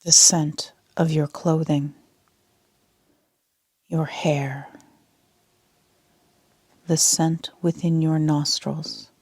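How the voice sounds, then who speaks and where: A woman leading a sleep meditation as a yoga nidra instructor, speaking in a soft, half-whispered, calm and soothing way. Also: low voice, drawn-out words, vocal fry, long pauses, breathy edge, no echo.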